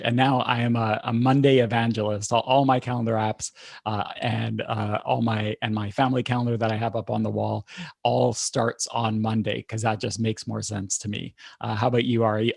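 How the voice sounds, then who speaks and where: A man co-hosting a podcast, chatting in a relaxed way with frequent uhs and restarts. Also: mid-range voice, gravelly, no room sound, studio quality. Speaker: podcast co-host. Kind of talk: casual podcast chat.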